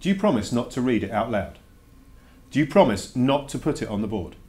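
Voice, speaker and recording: A man's voice: mid-range voice, very animated, a little fast, darkish tone, a little hiss or hum, lightly acted. A man voicing a reluctant schoolboy who puts emphatic, grudging questions to his teacher in a children's storybook read-aloud. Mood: frustrated, protesting. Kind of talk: dramatic read-aloud of a children's verse novel.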